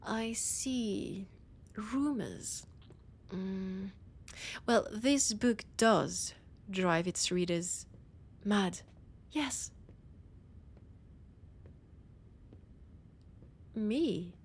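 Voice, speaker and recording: A woman playing a condescending, teasing vampire scholar in an audio roleplay, with a French accent and a breathy, husky voice that often drops to a whisper. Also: medium pitch, animated, extremely slow, long pauses, a little hiss or hum. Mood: teasing, amused. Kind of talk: scripted audio roleplay addressed to the listener.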